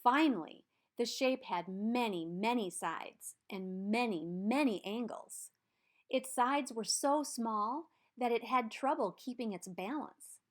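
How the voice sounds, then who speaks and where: A woman reading a children's story aloud in an expressive, deliberate way, stressing key words. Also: on the high side. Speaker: storybook reader.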